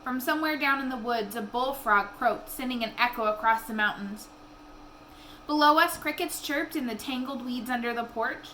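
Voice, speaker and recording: A woman reads a children's novel aloud with expression. Her voice sits high and has a bright, forward edge. There is faint noise behind her. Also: normal speed, low-quality recording. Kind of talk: expressive read-aloud storytelling.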